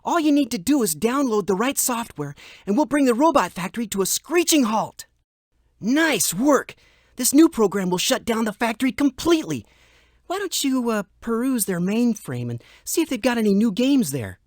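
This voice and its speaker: A woman's voice: on the high side, sing-song, moderate pace, darkish tone, dry recording, clean studio sound. A woman voicing a laid-back young adventurer who loves technology, chatty and casual, in a children's computer game. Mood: upbeat, casual.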